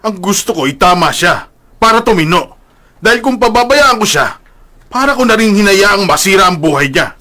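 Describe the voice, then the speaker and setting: A man's voice: high-pitched, animated, moderate pace, sharp tone. A male actor playing a stern, middle-aged father in a Filipino radio drama, with a Filipino accent and a domineering temper. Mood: stubborn, irritated. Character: stern, domineering, angry father of grown son.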